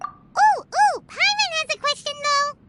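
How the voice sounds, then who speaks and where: A woman voicing a tiny, excitable female fairy-like companion in a game, chirpy and talking about herself in the third person, over soft game music. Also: very high-pitched, measured pace, heavy background noise. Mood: eager. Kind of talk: game cutscene voice acting.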